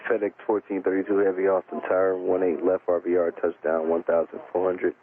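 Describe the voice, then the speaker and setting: A man's voice: deep voice, monotone, brisk. A man working as a tower air traffic controller, giving clipped, formulaic instructions in a matter-of-fact way over aviation radio. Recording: narrow tinny radio transmission, faint static.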